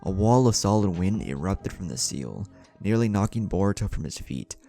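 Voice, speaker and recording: A man narrates a dramatic tale in a very low voice with a gravelly edge. His delivery rises and falls in a lively way, as a scripted storyteller's does. Music plays softly behind him. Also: moderate pace. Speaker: fan fiction narrator.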